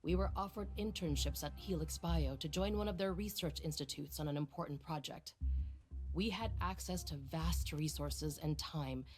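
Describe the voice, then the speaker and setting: A woman's voice: low-pitched, moderate pace, a little mellow, faint music behind, close to an everyday voice. An actress playing a middle-aged woman research director in a video game, silky, teasing and patronizing, with a manipulative streak. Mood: scornful.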